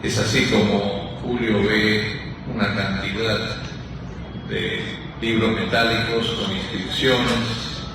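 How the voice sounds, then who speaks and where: Argentine guy giving a talk in a big echoey hall, far from the mic, real slow and halting. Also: on the low side, level tone, noisy background. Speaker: explorer and author presenting his book. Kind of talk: public talk, book presentation. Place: large reverberant indoor room, recorded from a distance.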